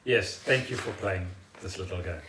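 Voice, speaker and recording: A man co-hosting a casual podcast, speaking in halting, deliberate phrases. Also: low voice, fairly bright tone, a little hiss or hum. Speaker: podcast co-host. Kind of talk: casual unscripted chat. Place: echoey room at home. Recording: roomy echo, distant from mic.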